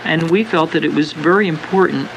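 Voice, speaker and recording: A man giving earnest radio interview remarks, speaking haltingly and repeating himself. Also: fairly high pitch, vocal fry. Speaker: interview guest, fan club member. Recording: noisy home cassette of radio broadcast.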